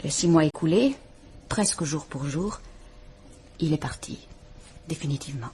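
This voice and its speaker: A woman's voice: deep voice, expressive, normal speed, mellow, slight background noise. An actress playing a frightened, dignified woman of about thirty in a vintage radio drama, composed, formal and restrained. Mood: restrained, bitter. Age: 30s. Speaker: woman reporting her husband.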